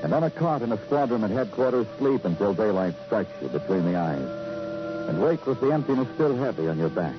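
Weary noir detective, American, low and expressive, brooding, vintage radio drama. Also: male voice. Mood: somber, brooding. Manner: brooding, deliberate, clipped in dialogue. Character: weary, brooding male New York police detective. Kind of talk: 1950s radio noir acting, poetic narration. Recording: dull vintage radio recording, loud music behind.

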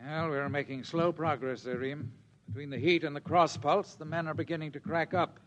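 A man's voice: on the high side, steady tone, normal speed, fairly bright tone, slight background noise, lightly acted. A man playing an anxious, cautious second officer, earnest and urgent, in a 1950s radio drama. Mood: worried, cautious. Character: anxious, cautious male second officer under a harsh commander.

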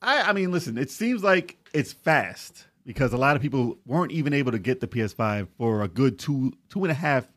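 A man with a husky edge to his voice hosts a casual podcast. His pitch moves around a lot as he chats. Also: animated, fairly quick, few pauses, fairly bright tone. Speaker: podcast host.

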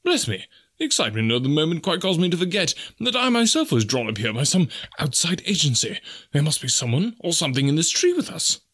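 A man's voice: a little high, sing-song, brisk, a bit bright, husky, pitched above the performer's own voice, full character voice. A man voicing a prim, anxious old secretary in an audiobook story, formal and earnest. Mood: earnest, flustered. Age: older.

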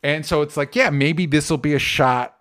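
A man's voice: fairly high pitch, normal speed, fairly bright tone. A young adult man co-hosting a casual pop-culture podcast, rambling and restarting his sentences, with emphatic swearing. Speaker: podcast co-host.

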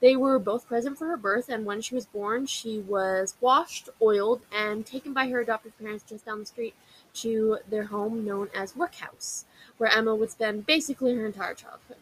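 A woman's voice: fairly high pitch, normal speed, husky, poor audio. A young woman hosting a history podcast, telling the story in a casual, chatty way, full of fillers and wry asides.

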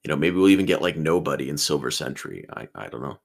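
A man in his twenties with a very low voice and a gravelly texture. He talks on a podcast as co-host, eager, restarting his sentences as he goes. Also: big pitch swings, fast. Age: 20s. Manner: enthusiastic, repeats words at starts of sentences. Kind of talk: casual chat.

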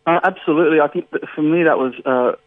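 A man's voice: a little high, fast talker, no room sound. A man whose first language is Farsi, speaking as a translator in a radio interview, thoughtful and full of fillers and restarts. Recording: phone-like line.

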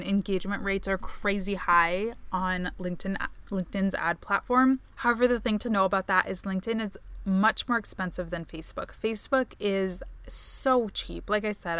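A woman's voice: mid-range voice, fairly bright tone, clear, resonant tone, no room sound. Chatty podcast host woman, tired voice. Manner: chatty, filler-heavy, upbeat. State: tired, strained voice. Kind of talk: solo podcast monologue on advertising.

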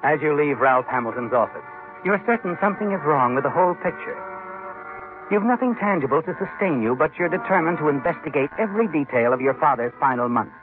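A man's voice: on the high side, expressive, fairly quick. A man delivering dramatic, ominous scripted narration in old-time radio style, speaking directly to the character. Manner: ominous, addressing the character as you. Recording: muffled vintage radio, music behind. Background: music.

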